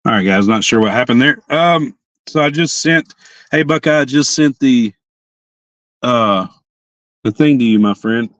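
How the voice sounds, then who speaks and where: A hoarse young man hosting a casual livestream chat from his parked car, rambling and often restarting his sentences. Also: mid-range voice, a little slow, pauses often, a bit bright, no room sound, lo-fi sound. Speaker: livestream host.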